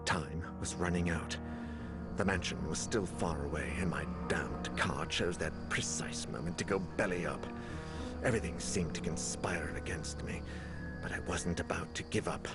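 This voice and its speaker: An actor playing a haunted, determined survivor in a horror game, speaking in a grave, dramatic storytelling manner. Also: male voice, sits low, fairly even intonation, normal speed, over loud music. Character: haunted, desperate but determined male survivor narrating horror. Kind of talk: brooding scripted first-person game narration and dialogue.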